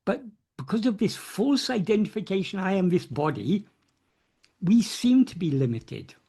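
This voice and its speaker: Mellow-voiced man teaching philosophy. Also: high voice, big pitch swings, breathy edge. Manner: earnest, repeats and restarts phrases, emphatic slow stresses. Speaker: spiritual teacher answering questions. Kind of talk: unscripted philosophical discourse in an online meeting. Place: online video meeting.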